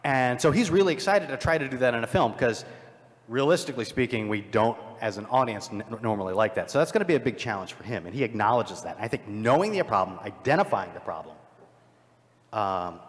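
A man on a live convention panel, thinking aloud with frequent restarts and lively jumps in emphasis. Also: medium pitch, a little fast. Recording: hall mic with long reverb.

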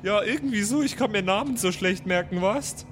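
A man's voice: high voice, normal speed, noisy background. A man improvising casually in character as a player in a tabletop role-playing game.

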